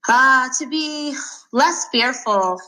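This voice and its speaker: A woman in her thirties from Sweden, answering interview questions thoughtfully with frequent pauses and fillers. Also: sits high, animated, bright, forceful tone, low-quality recording. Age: 30s. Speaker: guest.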